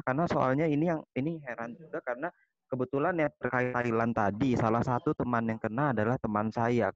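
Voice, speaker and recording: A man's voice: medium pitch, no echo. A young man with an Indonesian accent asking informal questions as a forum participant, often repeating his phrases. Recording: dull, lo-fi online meeting audio.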